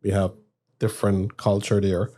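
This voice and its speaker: A man from Guyana, a podcast guest. He speaks softly and hesitantly and trails off. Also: lowish voice, little pitch movement, moderate pace. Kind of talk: casual interview chat.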